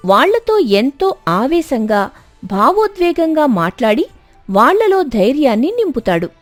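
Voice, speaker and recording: A woman narrating a scripted moral story dramatically, with expressive, emphatic delivery. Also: high voice, unhurried, no echo, faint music behind.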